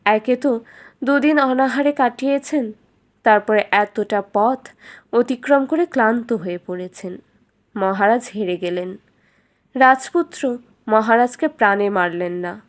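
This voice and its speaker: A woman tells a children's fairytale as a narrator. Her voice is fairly high, and she reads in a scripted storytelling style. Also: a little slow, long pauses.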